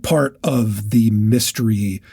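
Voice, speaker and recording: A man co-hosting a podcast, talking casually about books in a halting way, repeating words as he thinks. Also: on the low side, gravelly, mellow, husky. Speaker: podcast co-host. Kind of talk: casual book discussion.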